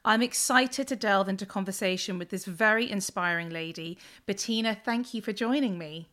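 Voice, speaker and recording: A woman hosts a podcast interview. She opens with a read introduction and then moves into relaxed, conversational questions. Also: mid-range voice, moderate pace. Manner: reads a scripted intro, then chats casually and laughs along. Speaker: podcast host.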